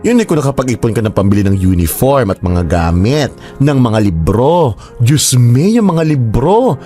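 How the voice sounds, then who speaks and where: A man's voice: mid-range voice, sing-song, moderate pace, mellow, faint music behind, natural voice. A man playing a flamboyant gossipy best friend. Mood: nagging. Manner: theatrical, swooping exclamations. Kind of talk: radio drama acting.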